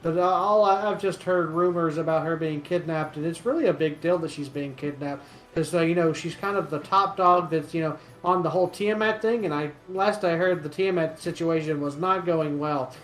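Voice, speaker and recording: A man's voice: on the high side, moderate pace, a bit bright, lightly acted. Man playing a rambling townsperson gossip, roomy sound. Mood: rambling, unsure. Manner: rambling, repetitive, hesitant, self-contradicting. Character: rambling, gossipy male townsperson in a fantasy city.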